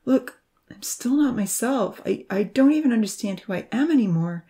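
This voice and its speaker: A woman voicing a troubled, scarred young man in a story, speaking haltingly with a wavering voice. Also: fairly high pitch, expressive, dark tone, voice pushed up. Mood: bitter, tearful. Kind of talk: storybook character reading.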